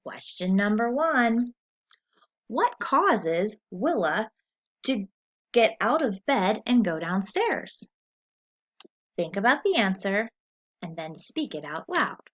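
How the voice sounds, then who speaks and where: Expressive, unhurried woman teacher reading aloud. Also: medium pitch. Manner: instructional, expressive, deliberate. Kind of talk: scripted story read-aloud with comprehension questions. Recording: dull lo-fi recording.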